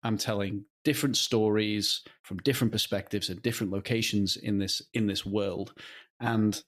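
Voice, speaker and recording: A man being interviewed as a writer, talking conversationally in a halting, thoughtful way. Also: on the low side, fairly even intonation, a bit bright. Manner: halting, thoughtful, often chuckling.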